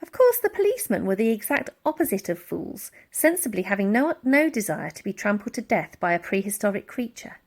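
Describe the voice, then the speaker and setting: A woman reading a children's storybook aloud as an expressive, dramatic narrator who acts out the sound words. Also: medium pitch, fairly quick, hardly any pauses. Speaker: audiobook reader.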